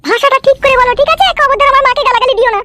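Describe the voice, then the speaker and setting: Tiny squeaky cartoon wife. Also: female voice, fairly even intonation, racing, very noisy. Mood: scolding. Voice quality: squeaky, childlike. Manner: bossy, curt, insistent. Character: stubborn, scolding young wife, squeaky and defiant. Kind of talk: amateur comedy cartoon dubbing. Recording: loud music under the voice.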